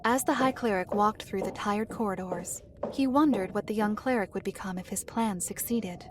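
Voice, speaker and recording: A woman reading scripted story narration for a dark fantasy tale. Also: medium pitch, moderate pace. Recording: clear background ambience noise.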